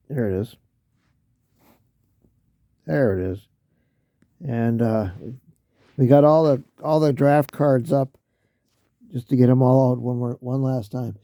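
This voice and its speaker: A man losing his voice, talking casually through a solo mock draft, halting with fillers and often clearing his throat. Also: mid-range voice, fairly even intonation, mellow, no room sound, clean studio sound.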